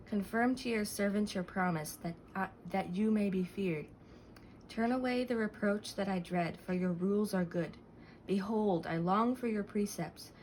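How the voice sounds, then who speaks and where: A woman's voice: mid-range voice, darkish tone, slight background noise, low-quality recording. A woman reading scripture verses aloud. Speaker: reader.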